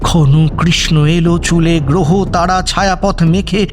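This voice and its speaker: A man narrating a horror audio story in a suspenseful, theatrical style with deliberate pauses. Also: a little high, fairly even intonation, slight background noise. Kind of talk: dramatic scripted horror narration. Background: background music.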